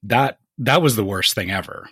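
Low-voiced, hesitant podcast co-host. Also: male voice, animated, moderate pace, bright, forceful tone, breathy edge, no room sound, clean studio sound. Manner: hesitant, searching for words. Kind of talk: casual podcast chat.

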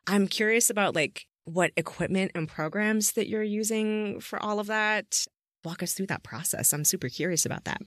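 A woman's voice: medium pitch, normal speed, no echo, clean studio sound. A woman hosting a casual interview, effusive and informal in the way she talks. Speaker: podcast interviewer and host.

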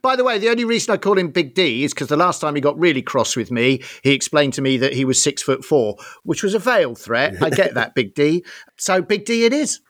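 A man's voice: a little high, lively intonation, fairly quick, a bit bright. A man co-hosting a podcast, making wry, teasing asides in casual chat. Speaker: podcast co-host.

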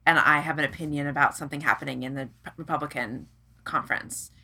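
Female podcast host, low-pitched with a bright, forward edge, casual interviewing. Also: fairly even intonation, fast talker, vocal fry, slightly rough.

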